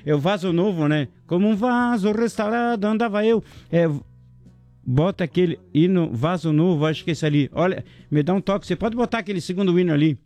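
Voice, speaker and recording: A chatty man hosting a local radio show. His voice is dark and mellow, with soft music playing faintly behind him. He jokes around and rattles off shout-outs to listeners and sponsors. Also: high-pitched, animated, fairly quick. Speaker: radio host. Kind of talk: casual local radio chat.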